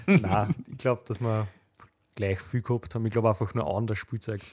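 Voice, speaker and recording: A man's voice: lowish voice, fairly even intonation, moderate pace, a little mellow. A man in his thirties with an Austrian accent, co-hosting a podcast with teasing, jokey chat. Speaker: podcast co-host. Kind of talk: casual chat with banter.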